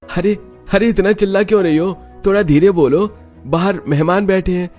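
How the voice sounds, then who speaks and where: A man's voice: high-pitched, normal speed, darkish tone, faint music behind, natural voice. A man playing an exasperated, pleading young husband in a family story, urgent and scolding. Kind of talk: dramatised Hindi family story acting.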